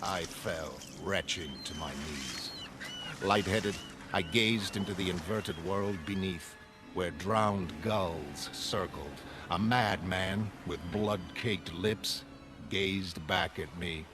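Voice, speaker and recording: An actor playing a haunted, horror-struck castaway, narrating a pirate comic in solemn, literary gothic storytelling. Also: male voice, low-pitched, slow, background noise. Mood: dazed, bleak. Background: music.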